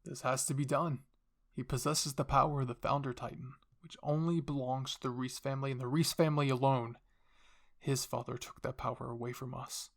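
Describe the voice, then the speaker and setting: A man voicing an older royal father in an anime roleplay drama, calm, commanding and measured, with an authoritative explaining manner. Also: medium pitch, vocal fry. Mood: firm, solemn.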